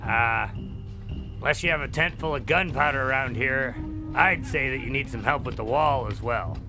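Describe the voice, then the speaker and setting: An actor playing a sarcastic, irreverent adventurer in an audio drama, with a dry and casual, wisecracking manner. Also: male voice, medium pitch, normal speed, music in the background, natural voice. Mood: wry. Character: sarcastic, irreverent male adventurer living in the moment.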